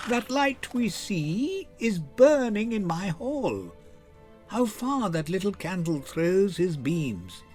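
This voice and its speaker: Noble lady played by a man: poised, reflective Shakespearean delivery, high and expressive. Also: takes it slowly, soft background music, exaggerated voice. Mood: serene, musing. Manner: refined, musing. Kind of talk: dramatic Shakespeare reading.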